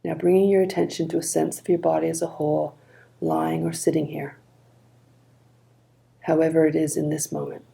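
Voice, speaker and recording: A woman leading a guided body scan meditation in a calm, gentle, unhurried manner. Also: low-pitched, creaky.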